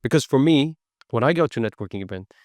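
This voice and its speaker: A man's voice: medium pitch, lively intonation, fast talker, darkish tone, no room sound, clean studio sound. A man hosting a podcast, asking short, casual follow-up questions. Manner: brief prompts and follow-up questions. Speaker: podcast host.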